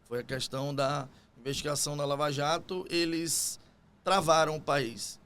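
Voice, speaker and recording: Podcast guest: male business owner with a Bahian accent, slightly high-pitched voice, talking through his story in conversation. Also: fairly even intonation, moderate pace, fairly bright tone, clear, resonant tone. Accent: Bahian Brazilian Portuguese. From Salvador, Bahia, Brazil.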